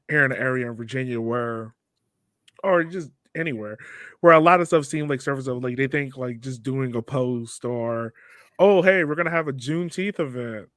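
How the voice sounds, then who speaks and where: A man's voice: medium pitch, moderate pace, fairly bright tone, dry recording, clean studio sound. A man hosting a podcast interview in a casual, informal way, rephrasing as he forms his questions.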